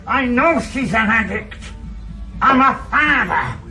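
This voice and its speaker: An actor playing a wry, self-mocking retired detective in a 1980s radio drama. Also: male voice, very high-pitched, expressive, slow pace, over loud music, heavily acted. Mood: agitated. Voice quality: dry, joking, self-mocking. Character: wry retired male ex-detective, middle-aged. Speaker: lead character. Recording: hissy old radio broadcast recording.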